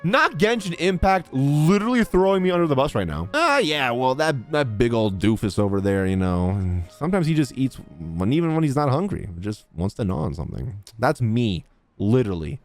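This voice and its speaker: A man's voice: mid-range voice, very animated, fairly quick. A man streaming a game, giving casual, slangy, joking reaction commentary. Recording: game music behind the voice.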